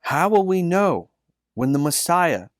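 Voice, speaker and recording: Storybook child, earnest and questioning, read by a man; measured pace, dry sound. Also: a little high, sing-song, no room sound, voice pushed up. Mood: curious. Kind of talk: storybook dialogue.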